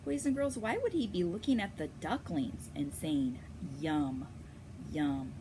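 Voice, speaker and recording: A woman reading a picture book aloud to children in a teacherly way, pausing to explain and ask them questions. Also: medium pitch, big pitch swings, noisy background. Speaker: story reader for children.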